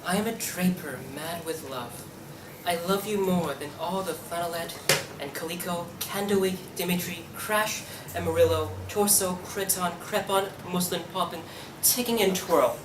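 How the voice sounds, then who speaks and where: A woman's voice: fairly low pitch, moderate pace, natural voice. A young woman playing an ardent draper, a man mad with love, in a table read of a radio play. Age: young adult. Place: room at a university table read. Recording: distant echoey room with clear noise.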